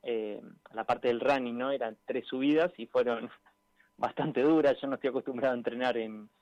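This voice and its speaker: A man's voice: medium pitch, fairly even intonation, moderate pace, darkish tone, dry recording. Argentine man on a dull phone line. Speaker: interviewed amateur triathlete. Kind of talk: casual radio interview.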